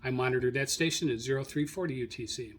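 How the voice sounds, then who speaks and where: A man hosting a radio hobby show, informally reading through his logs in a halting, self-correcting way as he searches his notes. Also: medium pitch. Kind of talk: informal spoken log rundown, unscripted.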